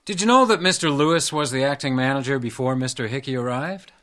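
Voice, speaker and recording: An actor in a radio drama playing a shrewd, composed hotel house detective, speaking and narrating in a measured, wry way. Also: male voice, mid-range voice, fairly bright tone, natural voice. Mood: calmly probing.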